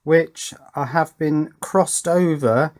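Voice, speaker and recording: A man's voice: fairly high pitch, slow, darkish tone. A man giving a casual hands-on electronics demonstration, thinking aloud and talking to himself as he works. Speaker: electronics hobbyist presenter.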